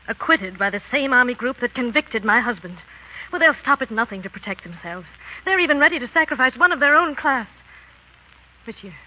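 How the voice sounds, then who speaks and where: A woman playing a desperate, devoted Frenchwoman pleading formally and earnestly for her husband in a 1930s radio drama. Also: a little high. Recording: muffled, noisy 1930s radio recording.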